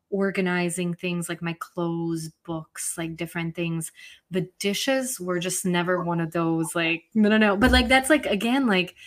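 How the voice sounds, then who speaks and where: Serbian-born woman, slightly low voice, casual chat. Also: a bit bright.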